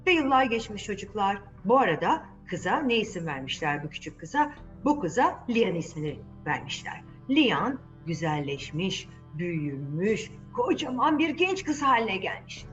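A woman's voice: mid-range voice, big pitch swings, moderate pace, dull audio, faint music behind. A woman telling a fairy tale to children, speaking to them directly and repeating words for emphasis. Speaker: children's storyteller, channel host.

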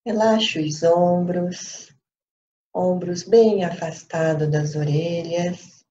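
A Brazilian woman leading a guided meditation as a teacher, speaking calmly in short phrases with long pauses. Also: lowish voice. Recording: dull lo-fi sound with light background noise.

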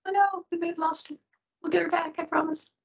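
A woman's voice: very high voice, steady tone, quick pace, creaky. A middle-aged woman casually moderating an online group call and putting questions to a guest. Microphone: video call.

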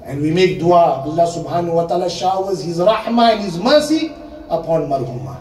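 A man's voice: a little high, warm tone, a little hiss or hum. Man, 30s, sermon, earnest, repeats words for stress, mixes in Urdu and Arabic, handheld mic, echoey hall, slightly distant. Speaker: religious speaker. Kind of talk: sermon at a memorial gathering. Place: indoor hall, seated at a panel table.

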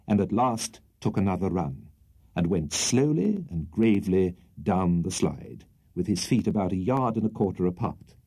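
A man's voice: low voice, mellow, breathy edge. A British man reading a literary audiobook as storyteller, in a precise, theatrical manner. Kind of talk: scripted literary audiobook narration.

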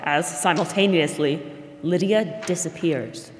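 A woman's voice: deep voice, a little slow. A young woman reading scripted narration of stage directions for a drama. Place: stage of a school theater. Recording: reverberant hall, faint noise.

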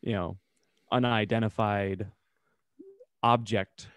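A man's voice: fairly low pitch, fairly even intonation, takes it slowly, a bit bright, smooth, no room sound, studio quality. A man co-hosting a podcast in a casual, teasing, conversational way. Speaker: podcast co-host. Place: indoor home room, remote video call.

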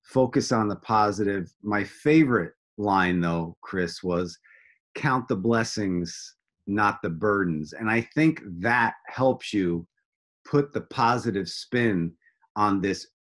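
Low-voiced middle-aged male interviewer. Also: slow, fairly bright tone. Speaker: interview host. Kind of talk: conversational interviewing. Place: home room, indoors. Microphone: video call.

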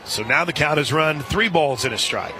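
A man doing live radio play-by-play of softball in a conversational way, as the announcer for the home side. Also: fairly high pitch, expressive, normal speed, noisy background. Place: outdoor softball stadium broadcast. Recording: crowd and music behind. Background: stadium crowd, music.

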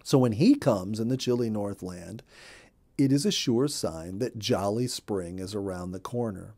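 A middle-aged man narrating a children's story in an expressive storybook manner. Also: on the low side, unhurried. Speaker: storyteller, audiobook reader. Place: indoor room.

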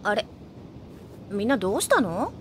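A woman playing a breezy, excitable, carefree young female character in an anime audio drama. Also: medium pitch, moderate pace, a little hiss or hum, close to an everyday voice.

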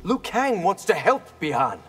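An actor playing an earnest, concerned young ninja fighter in a video game, direct and questioning. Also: male voice, sits high, sing-song, normal speed. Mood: reproachful. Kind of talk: video game character dialogue. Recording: loud music under the voice. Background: loud game music.

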